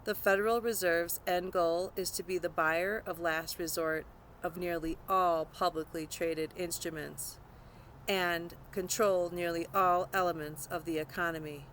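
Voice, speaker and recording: A woman's voice: fairly low pitch, steady tone, unhurried, fairly bright tone, a little hiss or hum, poor audio. A woman narrating a scripted nonfiction audiobook, reading aloud.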